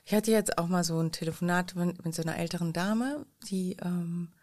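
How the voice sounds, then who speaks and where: A woman's voice: on the low side, fairly quick, a little mellow. A woman chatting casually in a reflective, somewhat hesitant manner. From southern Netherlands region or locality called "Holland Süd". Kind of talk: casual conversational chat.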